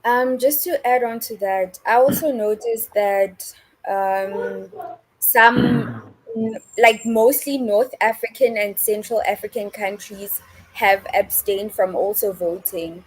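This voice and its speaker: A woman's voice: medium pitch, takes it slowly, slight background noise, low-quality recording. A woman adding tentative, hedging points in a casual live-stream discussion. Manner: tentative, hedging, many fillers. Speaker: discussion panelist.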